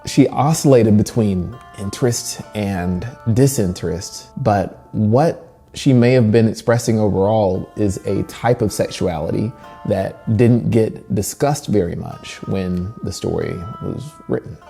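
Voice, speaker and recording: A man hosting a literature channel, narrating a scripted video essay with dramatic pauses and emphasis. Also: lowish voice, creaky, warm tone. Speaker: host of a horror literature channel. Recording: music bed behind the voice. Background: background music.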